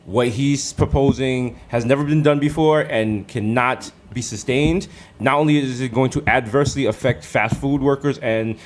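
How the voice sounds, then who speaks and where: A man's voice: medium pitch, fairly even intonation, moderate pace. A man co-hosting a comedy podcast, riffing casually and slipping into mock voices. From the Bronx, New York. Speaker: podcast co-host.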